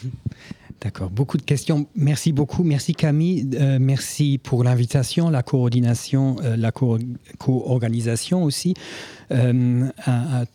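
A man speaking French with a foreign accent, not his first language, giving a halting talk as a guest anthropologist with many fillers. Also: medium pitch, warm tone, dry recording. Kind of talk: talk at a public book event.

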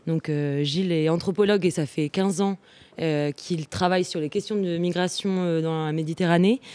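A woman moderating a public talk, hesitant with many fillers. Her smooth voice sits lowish and sounds dry and close. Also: steady tone, normal speed, darkish tone, no room sound. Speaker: event moderator.

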